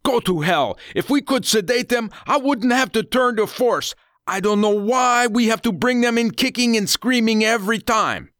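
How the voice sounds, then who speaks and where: A man's voice: very high voice, animated, normal speed, a bit bright, no room sound, clean studio sound, voice pushed up. A man voicing a brutal, short-tempered middle-aged kidnapping gang boss in an audiobook thriller. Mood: furious, threatening.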